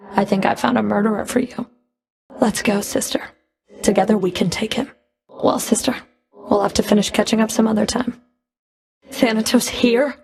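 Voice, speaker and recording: A woman voicing a stern, sardonic underworld Fury in a video game, menacing and flirtatious, with a creaky voice and cool, clipped, commanding taunts. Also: a little high, darkish tone. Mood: irritated, incredulous. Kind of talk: video game character voice lines.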